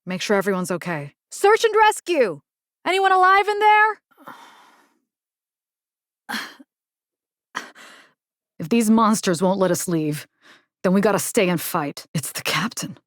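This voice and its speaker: A woman's voice: mid-range voice, big pitch swings, moderate pace, fairly bright tone, no room sound, studio quality. An actress playing a plucky, wry young woman rescue fighter in a video game, speaking in a casual, sardonic way. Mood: urgent, determined, then somber.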